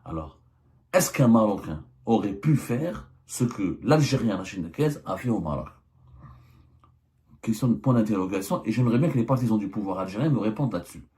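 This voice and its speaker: A man's voice: fairly low pitch, lively intonation, brisk, mellow. A man, a journalist and channel host delivering a political commentary monologue, emphatic and full of rhetorical questions. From Algeria. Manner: hesitant fillers and restarts.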